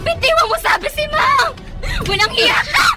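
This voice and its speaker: A woman's voice: very high-pitched, big pitch swings, normal speed, shouting, loud music behind the voice. A woman with a Filipino accent acting a brave, long-suffering servant with emphatic emotion in a melodramatic radio drama. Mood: furious, defiant.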